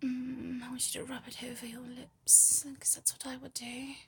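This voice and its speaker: A British woman whispering intimately in a slow, breathy murmur, in an erotic audio style. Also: high voice, monotone. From United Kingdom.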